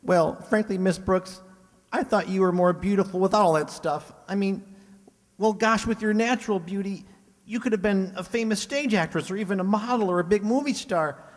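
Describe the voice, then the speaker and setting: A man's voice: high-pitched, normal speed, lightly acted. A man playing an awkward, gushing teenage boy student in a comedic old-time radio show. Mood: bashful, admiring. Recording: hall-like, long reverb.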